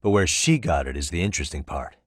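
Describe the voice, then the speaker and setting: A male actor voicing a composed, determined private detective in a video game, with a measured, probing delivery. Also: extremely low pitch, expressive, husky, no echo, clean studio sound, exaggerated voice. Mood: probing, dry. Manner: measured, probing, matter-of-fact. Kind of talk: English video game dialogue dub. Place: dry recording studio.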